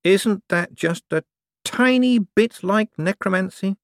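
A man's voice: high voice, slow, dry recording, studio quality, pitched above the performer's own voice. A man reading an audiobook, voicing a doubtful, pointed young woman who asks skeptical, probing questions. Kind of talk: audiobook character voice.

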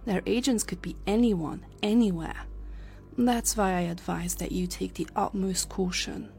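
A woman's voice: mid-range voice, measured pace, gravelly, a little mellow, soft background music. A woman playing an earnest, grave time-travel scientist in an audio drama. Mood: urgent warning.

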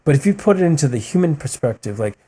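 A man's voice: a little high, quick pace. A man, a guest on a podcast, talking passionately in a rambling, casual way, often adding "man" and "you know" and acting out quotes as he tells stories. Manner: animated storytelling with voiced quotes. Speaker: podcast guest, musician and writer. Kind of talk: casual interview talk.

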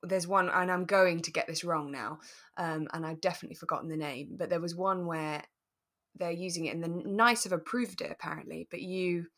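A woman co-hosting a podcast, explaining things step by step in a relaxed, conversational way. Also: lowish voice, a little fast. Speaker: podcast co-host.

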